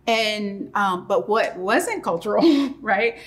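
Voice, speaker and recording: A lively woman co-host who laughs readily and jumps up in pitch when something amuses her. Her speaking voice is otherwise middling, and she chats with a playful, sermon-flavored edge. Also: slow pace. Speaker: podcast co-host. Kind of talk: casual podcast chat.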